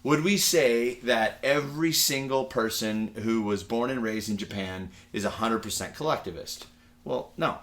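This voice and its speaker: A man's voice: medium pitch, normal speed, fairly bright tone. A man with an American accent giving a casual, digressive lecture in a conversational, anecdotal way, like a university teacher.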